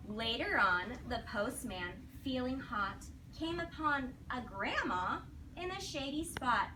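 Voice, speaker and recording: Lady teacher reading to little kids, highish voice, far from the mic in a noisy classroom. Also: expressive, takes it slowly. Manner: instructional, addresses young children with simple prompts and praise. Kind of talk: read-aloud lesson with questions to children. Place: classroom, recorded from a distance.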